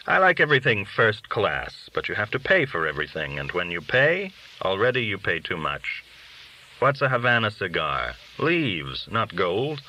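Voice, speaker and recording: A man's voice: on the low side, bright, forceful tone, full character voice, poor audio. A man reading an audiobook, voicing a worldly, cynical, boastful underworld dealer who talks in glib, argumentative rhetorical questions. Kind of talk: audiobook character dialogue.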